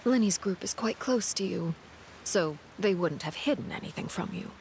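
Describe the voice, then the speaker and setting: A woman playing a composed, calculating intelligence agent in a video game, speaking in a measured, formal, coolly polite manner. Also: medium pitch, lively intonation, a little mellow, a little hiss or hum, close to an everyday voice. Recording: music behind the voice.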